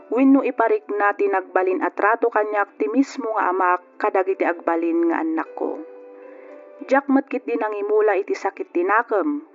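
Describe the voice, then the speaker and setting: Male actor as loving grown son, first-person radio narrator; high voice, thin phone-like old recording with music. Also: lightly acted. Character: grown son narrating, forgiving, loving towards his father.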